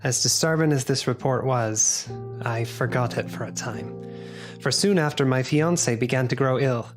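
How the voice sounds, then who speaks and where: An actor playing an earnest Victorian gentleman doctor who narrates gravely and formally in an audio drama. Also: medium pitch, faint music behind, natural voice. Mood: grave recounting. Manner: grave, formal, measured storytelling.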